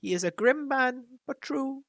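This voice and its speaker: A woman voicing a huge, very old male raven chief who speaks with a croaking, solemn, measured voice. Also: high-pitched, animated, a bit bright, dry recording, pitched above the performer's own voice, heavily acted. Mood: solemn warning.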